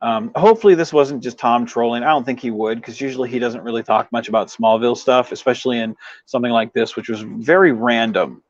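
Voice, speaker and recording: A man hosts a casual livestream, talking to his viewers in a loose, rambling way full of habitual filler phrases. His voice sits at an ordinary middle pitch. The audio is dry, with hardly any room around it, and a little dull and lo-fi. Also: quick pace, dry recording. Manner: rambling, frequent "you know" verbal tic. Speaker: livestream host. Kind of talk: casual chat with live viewers.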